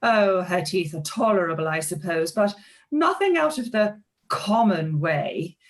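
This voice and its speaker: A woman's voice: fairly low pitch, expressive, a little slow. A woman playing a haughty, spiteful young society lady in a period radio play, with an affected, disdainful drawl. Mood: catty, scornful.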